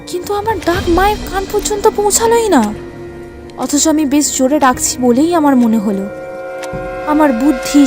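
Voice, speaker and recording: A woman narrating a first-person horror story in a suspenseful, theatrical way with dramatic pauses. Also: sits high. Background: music.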